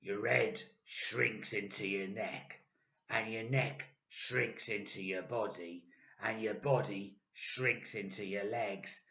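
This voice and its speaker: A woman's voice: rumbling low, fairly even intonation, full character voice. A woman doing a gloating, nasty old husband. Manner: loud, slow, mocking taunts. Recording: echoey room, dull lo-fi.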